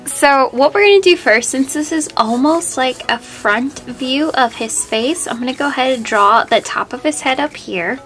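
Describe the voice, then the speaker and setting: High-voiced woman teaching a drawing. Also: lively intonation, normal speed, hardly any pauses, fairly bright tone, soft background music. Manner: friendly, easygoing explaining. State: slightly sniffly from a cold. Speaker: art tutorial host. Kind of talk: step-by-step drawing tutorial.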